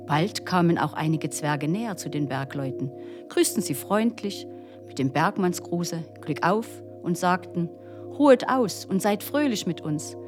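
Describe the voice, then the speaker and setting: A woman's voice: on the low side, expressive, measured pace. A woman narrating a scripted folk tale as a storyteller. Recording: music behind the voice. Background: instrumental music.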